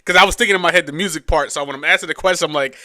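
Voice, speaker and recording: A man's voice: on the high side, rapid-fire, pushed and bright, slight background noise. A man with a New York accent hosting a casual podcast interview in laid-back slang, leaning on repeated catchphrases. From New York, United States. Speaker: podcast host and radio DJ.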